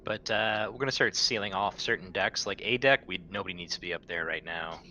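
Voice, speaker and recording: A man's voice: low-pitched, a little fast, bright, forceful tone. A man playing in a tabletop roleplaying game, talking casually with some swearing. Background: game soundtrack music.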